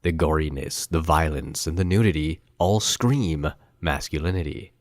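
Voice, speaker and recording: A man's voice: rumbling low, moderate pace, dry recording, studio quality. A man hosting a podcast, introducing and interviewing a guest. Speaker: podcast host.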